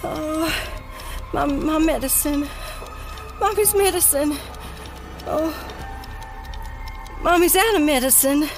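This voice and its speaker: A woman's voice: sits high, slow pace, long pauses, faint music behind. A woman playing a frantic, unstable mother, panicked and pleading.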